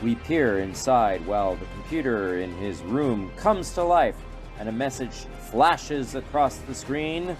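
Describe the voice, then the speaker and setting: A male narrator with a mid-pitched voice tells a radio play story. He reads from a script with dramatic timing, over a bed of music. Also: lively intonation, unhurried.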